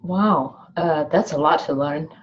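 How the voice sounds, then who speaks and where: Woman host, very low voice, hesitant questions, muffled lo-fi recording. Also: a little slow. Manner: hesitant, stammering questions with many fillers. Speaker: host, interviewer. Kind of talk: interview questions. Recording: dull, muffled lo-fi sound.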